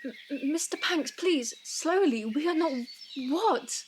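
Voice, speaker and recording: A woman playing a gentle, timid young woman in an audio drama, hesitant and full of anxious concern. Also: sits high, animated, a little slow, a little hiss or hum. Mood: bewildered. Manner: hesitant, soft-spoken concern.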